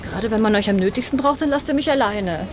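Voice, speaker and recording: A woman's voice: mid-range voice, quick pace, noisy background, lightly acted. An actress playing an uncertain, cultured, newly widowed woman with an anxious manner in a radio crime drama. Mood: reluctant, nervous. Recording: studio radio play, music behind.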